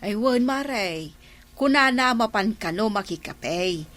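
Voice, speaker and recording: A woman as a bright-voiced Ilocano village gossip. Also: medium pitch, lively intonation, a little slow. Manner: chatty, exclamatory. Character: gossipy village woman passing on neighbourhood hearsay. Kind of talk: Ilocano comedy radio drama acting.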